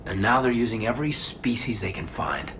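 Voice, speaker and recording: Earnest officer, deep breathy voice, subdued, reflective, noisy background, video game. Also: male voice, animated, fairly quick. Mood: somber. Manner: subdued, serious. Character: sober, reflective male officer, loyal and earnest.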